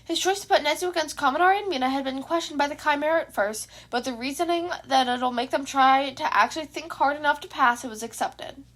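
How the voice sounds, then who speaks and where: A woman's voice: high voice, fast talker, few pauses, fairly bright tone. A woman reading a scripted story aloud as an amateur audiobook narrator.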